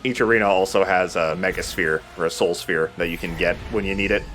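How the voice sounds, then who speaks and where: A man's voice: low-pitched, steady tone, fairly quick, fairly bright tone, faint music behind. A man giving casual commentary as he plays a video game, chatting and swearing lightly. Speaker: let's play host playing the game. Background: video game sound.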